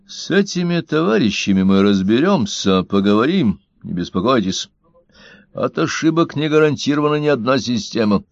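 A man's voice: medium pitch, very animated, normal speed, no room sound. Brief: lecturing Soviet official, read by a man, swooping delivery, muffled lo-fi take. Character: self-assured male Soviet party official. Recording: dull, dry lo-fi recording.

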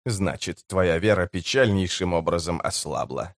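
A haughty slave trader villain, performed by a male narrator in a fantasy audiobook. He speaks low, with oily, sneering mockery. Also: normal speed, dry recording, clean studio sound, close to an everyday voice. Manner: mocking, haughty.

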